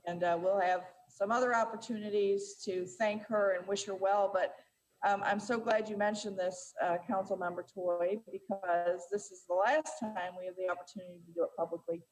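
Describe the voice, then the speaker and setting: A woman presiding over a city council meeting, speaking in a measured way with frequent fillers and restarts. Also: mid-range voice, steady tone, darkish tone, low-quality recording.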